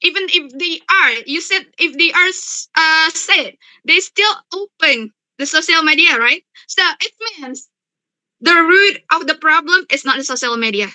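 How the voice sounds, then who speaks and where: A young woman argues in a competitive debate, her voice high and very bright. She speaks haltingly, correcting herself mid-sentence and leaning on rhetorical questions. The sound is dry and close. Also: no echo, low-quality recording. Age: young adult.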